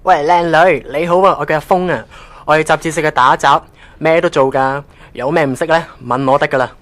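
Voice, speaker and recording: A man's voice: on the high side, brisk, a bit bright, lightly acted. A man with a Hong Kong accent playing a chatty, familiar and teasing young office gofer in a radio drama. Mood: friendly, breezy. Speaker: voice actor in an online radio drama. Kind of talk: casual radio drama dialogue.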